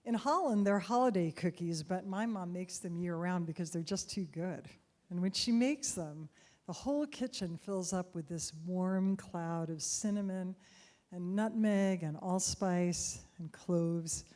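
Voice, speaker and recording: A middle-aged woman with a mellow, dark-toned voice speaks into a stage microphone before an audience. She tells a personal story in an unhurried, conversational way, dotted with soft laughs. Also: on the low side. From United States.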